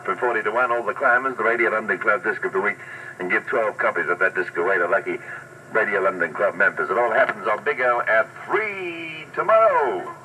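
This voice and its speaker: A man's voice: on the low side, a little fast, pushed and bright. Male 1960s radio presenter, noisy tape. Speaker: radio disc jockey.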